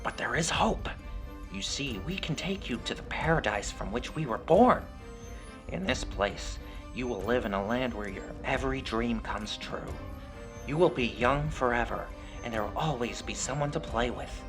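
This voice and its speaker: A man's voice: mid-range voice, lively intonation, moderate pace, music in the background, lightly acted. Man voicing a coaxing, sinister puppet fellow. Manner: persuasive, rehearsed-sounding. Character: sinister male felt puppet, coaxing and falsely friendly.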